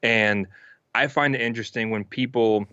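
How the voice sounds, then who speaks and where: A man's voice: medium pitch, steady tone, moderate pace, pushed and bright, smooth, no echo. A male music producer talking casually as an interview guest from his home studio, explaining things with lots of "you know.". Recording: dull, lo-fi dry sound.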